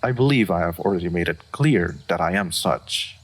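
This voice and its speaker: A man playing an aloof dragon in human form, speaking formally and with deadpan reserve in a fantasy roleplay audio. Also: deep voice, moderate pace. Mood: cool, reserved. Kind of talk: scripted fantasy roleplay audio addressed to the listener.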